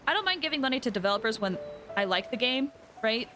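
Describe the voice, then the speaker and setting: A woman streaming a game and chatting casually with viewers. Her voice is a little high, and game music plays clearly behind her. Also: lively intonation, fast talker, bright, forceful tone.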